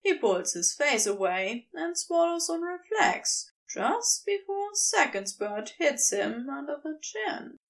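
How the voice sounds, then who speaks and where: Female audiobook-style fiction reader, unhurried and very melodic, recorded slightly distant. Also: sits high, sing-song, gravelly. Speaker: podfic reader. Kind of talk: scripted fiction narration.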